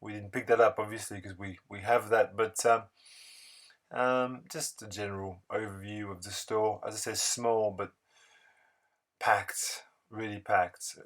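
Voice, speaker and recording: A man narrating a casual vlog voice-over in a halting, unscripted, rambling way. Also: fairly low pitch, level tone, fairly bright tone. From France. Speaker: record-collecting video blogger.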